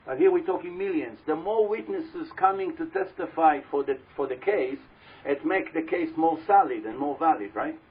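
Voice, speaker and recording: A man with a loud, slightly husky voice, pitched a little high, gives a religious talk to a live audience. He tells a long story and keeps putting questions to his listeners. The recording is dull and lo-fi, with the voice taken through a microphone at a live event. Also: animated, normal speed. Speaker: religious teacher speaking to an audience. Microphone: handheld or stand microphone.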